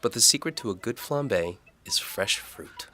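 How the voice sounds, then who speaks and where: Man voicing a forward young maid, pitched high. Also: animated, normal speed, pushed and bright. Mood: concerned.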